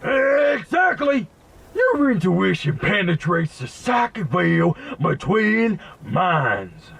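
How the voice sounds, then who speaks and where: An excitable mad inventor from a video game, pitched high with wild swoops. The voice is husky and theatrical, savoring long grandiose phrases at a slow pace. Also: male voice, full character voice. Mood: grandiose, excited. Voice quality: raspy, creaky. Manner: theatrical, verbose, bursts of emphatic exclamation. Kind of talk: video game character acting.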